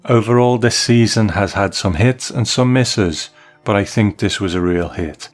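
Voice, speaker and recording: A man hosting a podcast, reading listener emails aloud in a measured way. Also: lowish voice, faint music behind. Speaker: podcast host.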